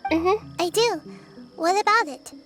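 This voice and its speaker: A gentle young goddess. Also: female voice, very high-pitched, unhurried. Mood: thoughtful. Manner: soft-spoken, thoughtful and reasoning. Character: youthful goddess of wisdom, gentle and thoughtful. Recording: game audio with music. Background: game music.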